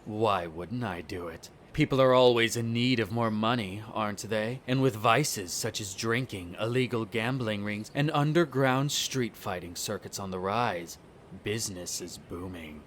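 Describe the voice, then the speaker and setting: A man's voice: lowish voice, a bit bright. Man acting a haughty vampire noble over background noise. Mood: smug, sardonic. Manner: haughty, sardonic, theatrically drawn-out phrasing. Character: smug, bitter, condescending male vampire noble, eldest son. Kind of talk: scripted audio roleplay acting addressed to the listener.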